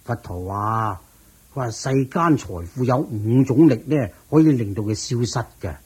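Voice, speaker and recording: A man playing a kindly, worried older master of a wealthy household in a Cantonese radio drama, sighing and measured, with a Hong Kong accent. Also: mid-range voice, darkish tone, breathy edge. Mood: troubled.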